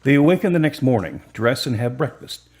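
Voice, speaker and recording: A man narrating a scripted radio drama with a husky voice. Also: medium pitch, expressive, fairly quick, a little mellow.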